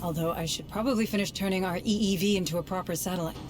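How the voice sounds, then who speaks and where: A woman's voice: lowish voice, fast talker, lightly acted. A woman playing an earnest, determined deputy administrator in a sci-fi game cutscene. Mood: businesslike. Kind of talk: dramatic video game cutscene acting.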